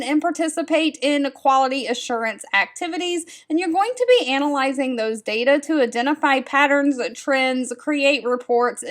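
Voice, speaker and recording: A woman in her thirties hosting a vlog, talking straight to her viewers in a friendly, direct way. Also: sits high. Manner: friendly, direct, repeats advice. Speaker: host sharing job leads. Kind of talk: casual scripted-feeling vlog talk to viewers.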